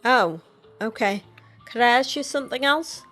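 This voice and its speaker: A woman's voice: a little high, normal speed, fairly bright tone, faint music behind. Hesitant young woman asking questions. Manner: hesitant, with filler words. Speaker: player voicing her character. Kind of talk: casual game voice-acting.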